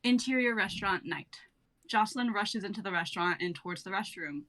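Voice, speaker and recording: A woman's voice: medium pitch, fairly quick, a bit bright, a little hiss or hum, poor audio. A young woman reading stage directions aloud as scripted narration for a sketch. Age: young adult.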